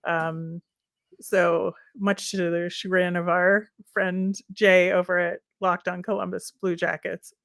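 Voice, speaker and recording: A woman's voice: lowish voice, little pitch movement, takes it slowly, vocal fry, fairly bright tone, no echo. A woman co-hosting a sports podcast, talking casually and conversationally, often hedging with little asides.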